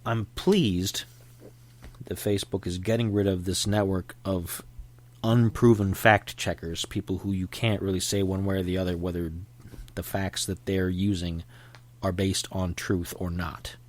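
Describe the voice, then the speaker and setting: Low-voiced opinionated man, sniffly. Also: little pitch movement, measured pace. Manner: opinionated, rambling, frequent restarts. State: sniffly from a winter cold. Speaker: podcast host. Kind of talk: solo podcast commentary.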